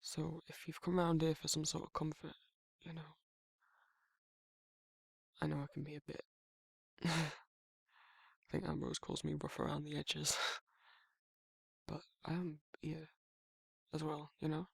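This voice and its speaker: Man as a gravelly Northern English werewolf. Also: a little high, completely flat delivery, unhurried, pauses often, dry recording, heavily acted. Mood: awkward, tender. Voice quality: husky, gravelly. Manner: hesitant, informal, stumbling over feelings. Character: gruff but caring young male werewolf, awkwardly protective. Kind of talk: intimate ASMR roleplay.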